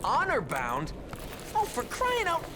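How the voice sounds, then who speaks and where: A man voicing a serious, take-charge teenage mutant turtle leader in a video game, firm and directive under loud music. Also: very high voice, wildly swooping pitch, slow, noisy background, heavily acted. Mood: serious, exasperated.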